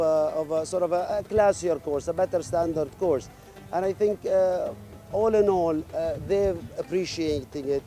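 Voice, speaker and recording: A man's voice: a little high, dark tone. A man speaking for a golf club in an interview, hesitant and full of fillers and restarts.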